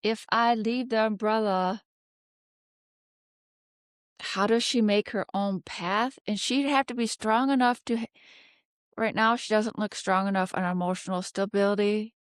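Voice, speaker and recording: A woman host reads tarot cards in a slow, deliberate delivery. Her voice is smooth and clear, recorded close and dry. She thinks out loud, pausing often and putting questions to the air. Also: mid-range voice, fairly even intonation, no room sound. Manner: halting, pondering aloud with rhetorical questions. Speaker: tarot card reader, host. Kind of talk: unscripted tarot reading commentary.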